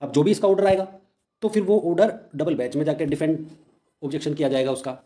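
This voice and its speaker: A young man with a North Indian accent speaks in a fairly high voice with a mellow, rounded tone. He explains things informally, straight to his viewers. His delivery is colloquial and insistent, circling back to stress each point. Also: fairly even intonation, fast talker. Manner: insistent, colloquial, addresses listeners as brothers, repeats points for emphasis. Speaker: exam-news channel host and candidate activist.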